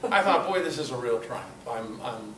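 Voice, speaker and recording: An older man giving an informal talk at a club meeting, telling personal anecdotes in a halting, conversational way. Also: medium pitch, animated, a bit bright. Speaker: guest speaker at a club meeting. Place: meeting room, in front of an audience. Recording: echoey, noisy room recording from far off.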